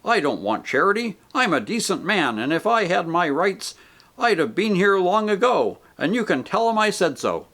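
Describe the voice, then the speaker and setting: A man's voice: high-pitched, sing-song, moderate pace, fairly bright tone, voice pushed up, exaggerated voice. A man voicing a coarse, self-righteous male ghost who talks in blunt, indignant working-man speech.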